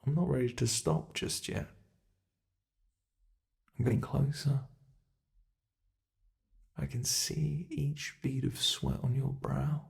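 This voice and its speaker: A man voicing a sadistic, playful ancient monster hunting human prey in an ASMR roleplay, taunting theatrically and slipping into breathy whispers. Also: medium pitch, fairly even intonation, slow, long pauses. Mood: predatory teasing. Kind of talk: ASMR roleplay, scripted monologue.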